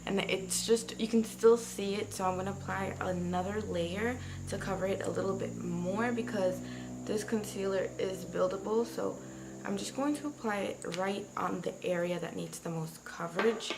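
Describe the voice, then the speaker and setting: A woman reviewing a product in a casual, chatty way, talking straight to her viewers, with a slightly raspy early-morning voice. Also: mid-range voice, normal speed, background noise. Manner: chatty, talks to viewers directly. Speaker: beauty vlogger.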